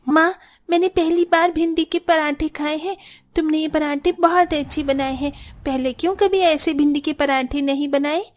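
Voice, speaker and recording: A woman's voice: high voice, steady tone, normal speed, lightly acted. A woman voicing a whiny, demanding young boy in a cartoon story. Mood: delighted. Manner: demanding, childlike. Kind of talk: Hindi cartoon story dialogue.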